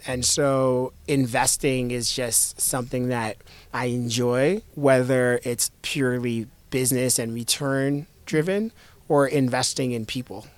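A man in his thirties from the United States, an investor guest talking reflectively in an interview, in a measured way with repeated starts. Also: mid-range voice, fairly even intonation, no room sound. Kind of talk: conversational interview.

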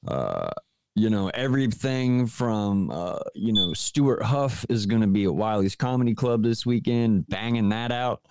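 A comedian from West Virginia chatting loosely over a remote call, joking and swearing freely. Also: male voice, on the low side, lively intonation, unhurried, background noise. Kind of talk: casual podcast chat.

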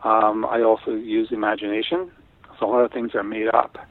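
A middle-aged man from Canada, Italian as his first language, talking in a thoughtful, unhurried way with frequent restarts in an interview. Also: lowish voice, level tone, vocal fry, no room sound. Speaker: guest artist. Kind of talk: casual interview talk. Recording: tinny phone line.

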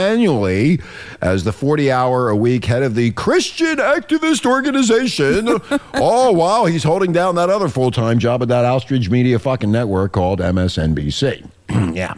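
A man's voice: mid-range voice, very animated, normal speed. A hungover man hosting a talk show, rambling irreverently with lots of swearing. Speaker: radio show host. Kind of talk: casual talk show banter.

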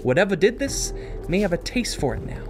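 A man acts out a driven police detective in an audio drama. He is terse, confrontational and hot-tempered, with a pitch that moves widely. Music plays clearly behind him. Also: big pitch swings, brisk. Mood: firm, businesslike. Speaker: voice actor.

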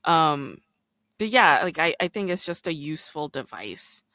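A woman with a low register voice hosting a podcast in casual chat. She fills her talk with "like" and "you know.". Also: very animated, measured pace, pushed and bright, dry recording, clean studio sound. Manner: chatty, frequent "like" and "you know". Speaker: podcast host and stand-up comedian.

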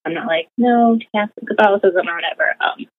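A woman's voice: mid-range voice, fast talker. A young woman talking casually as an interview guest, rambling with many fillers like "like" and "you know". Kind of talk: casual conversational storytelling. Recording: tinny phone-like line.

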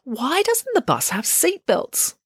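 Know-it-all rich girl voiced by a woman, chatty, blithe, precise, very lively intonation, storybook character. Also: high-pitched, slow, no echo, voice pushed up, full character voice. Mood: puzzled, curious. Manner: blithe, precise, matter-of-fact.

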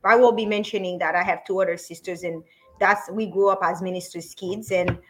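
A middle-aged woman from Haiti speaks as a podcast guest. She starts and restarts her sentences, fills the gaps with ums and laughs easily. She keeps the relaxed tone of a casual interview. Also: on the low side, soft background music, poor audio. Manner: hesitant, many ums, quick to laugh. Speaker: podcast guest, business coach.

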